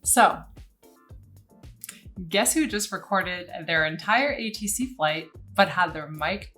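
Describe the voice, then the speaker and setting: 30s woman, bit of a low voice, casual flight sim walkthrough, kinda thinking out loud. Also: lively intonation, slow, soft background music. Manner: informal, thinking aloud while working. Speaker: pilot and flight simulator video host. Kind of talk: casual tutorial commentary. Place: small indoor room at home. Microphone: boom-arm microphone close up, headset worn.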